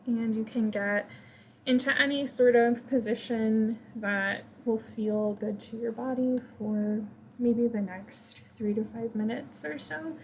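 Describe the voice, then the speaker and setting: A woman leading a guided meditation, giving gentle, invitational instructions in short phrases with pauses between them. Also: fairly high pitch, a little hiss or hum.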